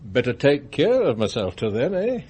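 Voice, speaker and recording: An actor playing a blunt, domineering older English business magnate in a radio drama, curt and commanding. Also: male voice, medium pitch, wildly swooping pitch, normal speed, darkish tone, husky. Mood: commanding, secretive.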